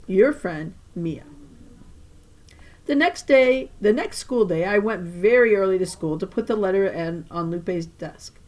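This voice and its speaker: A woman reading a children's novel aloud like a teacher to her class, with animated storytelling and emphatic phrasing. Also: medium pitch, moderate pace, hardly any pauses. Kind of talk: expressive children's novel narration.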